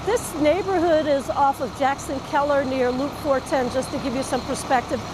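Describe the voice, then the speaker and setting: A woman's voice: sits high, fairly even intonation, normal speed. A woman with an American accent giving a live, partly ad-libbed news report as a field reporter outdoors. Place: outdoors in a residential neighborhood. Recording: noisy outdoor street with vehicle sounds.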